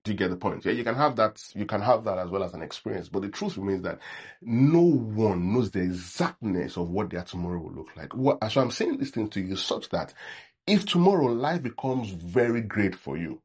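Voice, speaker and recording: Nigerian man preaching, deep husky voice, conversational sermon with check-ins. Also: lively intonation, brisk. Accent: Nigerian English. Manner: acts out sample prayers in a mocking tone. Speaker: pastor.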